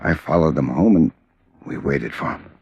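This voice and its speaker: An extremely deep, croaking gangster in a vintage radio crime play. He sounds husky, weak and groggy, answering in halting, sullen scraps. The sound is close and dry. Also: no room sound, full character voice. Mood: defeated, confessing. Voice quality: gravelly, husky. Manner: halting, stubborn short replies. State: weak, groggy after surgery. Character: wounded male hoodlum, sullen, evasive, cornered and wearing down. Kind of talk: 1950s radio drama acting. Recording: dry, dull old radio recording.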